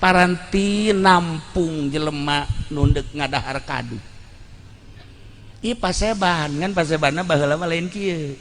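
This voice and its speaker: A man's voice: a little high, measured pace, a bit bright. A man with a Banten Sundanese accent preaching and telling stories in a blunt, colloquial way, repeating phrases for emphasis. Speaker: Islamic preacher. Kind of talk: Sundanese sermon with storytelling. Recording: reverberant hall, background noise.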